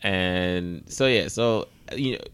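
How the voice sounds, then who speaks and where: A man's voice: low voice, slow pace, a bit bright, clear, resonant tone. A man hosting a casual sports talk podcast, speaking in a conversational, opinionated way. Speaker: podcast host.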